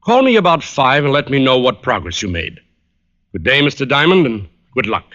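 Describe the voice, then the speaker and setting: An actor playing a curt, formal client giving businesslike instructions in an old radio detective drama. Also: male voice, mid-range voice, big pitch swings, a little fast, a bit bright, slight background noise. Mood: brisk. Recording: dull old radio recording with faint music.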